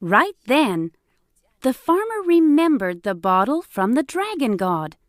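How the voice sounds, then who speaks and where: A woman narrating a scripted children's fairytale as an expressive storyteller. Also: high-pitched, measured pace, slight background noise. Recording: music bed behind.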